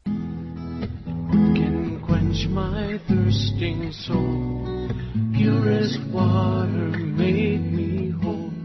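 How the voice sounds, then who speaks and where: A woman sings a devotional song at a very slow, drawn-out pace. Plucked guitar plays prominently with her. The recording is slightly dull. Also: fairly low pitch, steady tone. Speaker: singer. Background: plucked guitar music.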